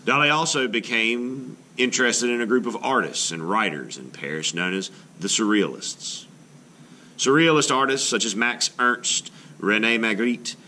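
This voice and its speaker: A man reading a children's book aloud as an art teacher, in an expressive, explanatory way meant for children. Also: fairly low pitch, slight background noise.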